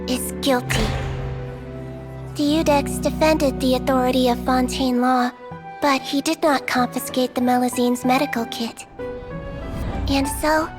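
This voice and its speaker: Casting: a sweet, soft-spoken little doctor with a very high voice, game music playing underneath. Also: female voice. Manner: soft, kindly storytelling. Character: gentle young female nonhuman doctor, calm and kind. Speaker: narrator of her own story. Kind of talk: video game voice acting. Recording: game soundtrack music behind.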